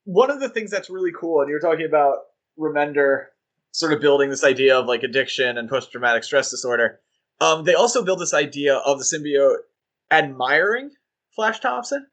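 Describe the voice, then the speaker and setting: A man's voice: a little high, animated, a little fast, fairly bright tone. A man co-hosting a podcast, talking casually and joking with many fillers. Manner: chatty, joking, with frequent fillers. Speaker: podcast co-host.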